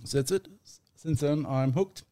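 A man from Germany with a German accent, a podcast guest talking in a halting, self-deprecating way with small grammar slips. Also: a little high, mellow. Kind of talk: casual podcast chat.

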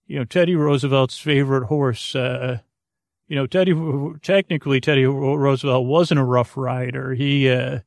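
A man hosting a podcast with a creaky, gravelly voice, improvising a hesitant, meandering bedtime monologue full of tangents, close on the mic. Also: mid-range voice, fairly even intonation, moderate pace, dry recording. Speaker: podcast host.

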